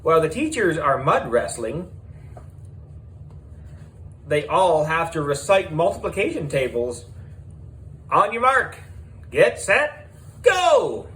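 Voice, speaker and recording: Storybook female presenter man acts her out: brash, breezy, announcer-like, highly animated. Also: slow, pauses often, heavily acted. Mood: commanding.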